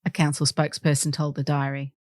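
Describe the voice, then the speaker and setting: A woman's voice: sits low, flat delivery, fast talker, no echo, studio quality. A woman reading local newspaper articles aloud as scripted narration. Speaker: volunteer newspaper reader.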